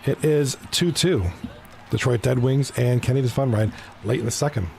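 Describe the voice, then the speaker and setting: Casual male stream commentator, gravelly, from Toronto, faint room ambience. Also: medium pitch, normal speed, a little mellow, a little hiss or hum. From Canada (Toronto). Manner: casual, chatty, talks to viewers by name. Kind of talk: live esports hockey commentary and chat.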